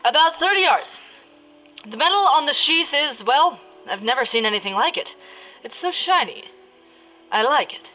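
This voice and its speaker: Woman playing a bright, high-voiced flustered radio reporter. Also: lively intonation, faint music behind, poor audio. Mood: excited. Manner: halting, exasperated live commentary. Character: flustered, crude male radio news reporter at crash site. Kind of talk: parody of 1930s radio drama.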